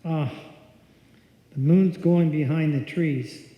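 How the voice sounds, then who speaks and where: A man's voice: fairly high pitch, fairly even intonation, takes it slowly. A man playing a friendly, protective small-town policeman in live old-time radio drama style. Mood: genial, concerned. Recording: echoey hall, dull lo-fi sound.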